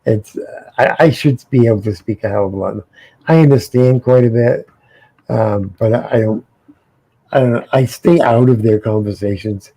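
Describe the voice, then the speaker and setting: Man, middle-aged, American, mellow dark tone, rambling casual co-host. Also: mid-range voice, normal speed, breathy edge, no echo, low-quality recording. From United States. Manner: rambling, repeats words often. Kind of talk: casual panel chat.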